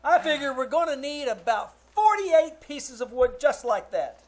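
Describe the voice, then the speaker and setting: A woman's voice: high-pitched, expressive, moderate pace, darkish tone. A woman playing a cheeky, wry young boy, an exasperated nephew in a live old-time radio comedy. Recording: faintly noisy live stage recording.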